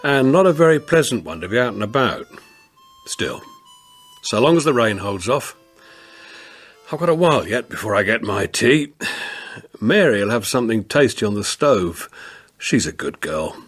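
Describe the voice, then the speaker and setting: An actor playing a homely older policeman in a radio drama monologue, confiding and rueful, with a husky voice and an English accent. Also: medium pitch, sing-song, moderate pace, pauses often, faint music behind. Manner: confiding, plain-spoken, rueful.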